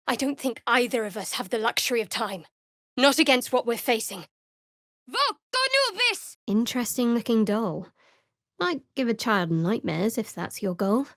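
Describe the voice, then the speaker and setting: A woman voicing a guarded, sardonic young cleric of a dark goddess in a video game, with a dry, measured, clipped delivery. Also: on the high side, dry recording. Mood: urgent, incanting. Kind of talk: video game voice acting, companion dialogue and battle barks.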